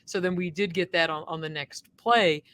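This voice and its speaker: A woman co-hosting a college football podcast, telling game stories in a booming, lively way from a stadium press box. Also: normal speed. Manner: lively storytelling, self-described booming voice. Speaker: podcast co-host, sportswriter. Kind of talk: casual sports podcast chat.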